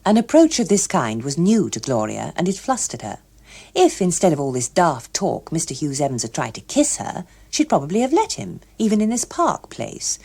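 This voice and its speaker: A British woman reads literary fiction as an audiobook narrator. Her voice is slightly low and a little husky, with a very lively, expressive rise and fall. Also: moderate pace.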